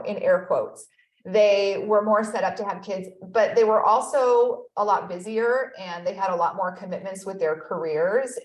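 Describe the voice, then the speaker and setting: A woman's voice: medium pitch, roomy sound, rough recording. A woman in her thirties, a guest in a conversational interview, telling her story in an earnest, reflective way. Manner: earnest, reflective storytelling with frequent "you know". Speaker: guest, accountability expert.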